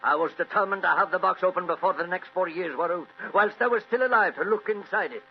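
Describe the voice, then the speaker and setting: A man's voice: high-pitched, fast talker, soft background music. An actor playing a crusty, wily old grandfather who likes a dram, in a 1940s style of radio drama. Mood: indignant, sly. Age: older. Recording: dull, humming old radio recording.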